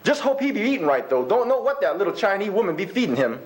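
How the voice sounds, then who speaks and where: A man's voice: high-pitched, sing-song, fast. A man voicing a bigoted old rancher grandfather in a folksy drawl, reciting a dramatic monologue poem. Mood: proud, folksy. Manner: folksy drawl, exclaiming, colloquial rural speech.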